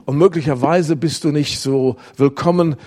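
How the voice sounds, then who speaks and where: A man's voice: fairly high pitch, normal speed, warm tone. A middle-aged man preaching a sermon to a congregation, acting out prayers and quotes as he tells stories. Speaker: pastor. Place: church hall with congregation.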